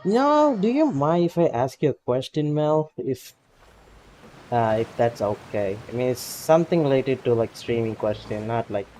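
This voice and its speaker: A man with a non-native English accent chatting casually while streaming a game, halting and full of fillers. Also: mid-range voice, big pitch swings, dark tone, slight background noise, rough recording. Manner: halting, filler-heavy, self-conscious. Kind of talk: casual live game commentary.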